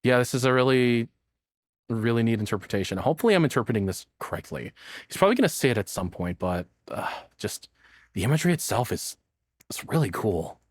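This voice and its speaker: Expressive, sweary man reacting casually. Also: medium pitch, fairly quick. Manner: profane, rambling, expressive. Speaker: streamer reacting to a video.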